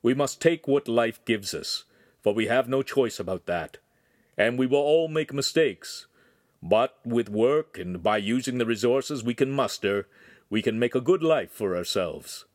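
Big jovial storybook master craftsman, voiced by a male narrator: booming, teasing, fatherly, lively swings. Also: unhurried, no room sound, clean studio sound, natural voice. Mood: earnest.

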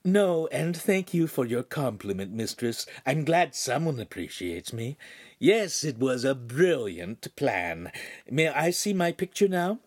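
A man performs an impish holographic sprite from a storybook, male and haughty, with courtly phrasing. The delivery is lively and swooping, in a dry, close recording. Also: medium pitch, unhurried, breathy edge, dry recording, voice pushed up. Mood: smug, courtly.

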